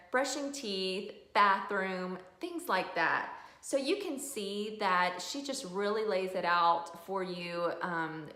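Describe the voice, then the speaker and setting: Female reviewer, mid-pitched voice, earnest and conversational explainer delivery, recorded in a reverberant room. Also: fairly bright tone. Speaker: homeschooling mom reviewing a curriculum. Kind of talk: casual explanatory product review. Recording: roomy, echoey room sound.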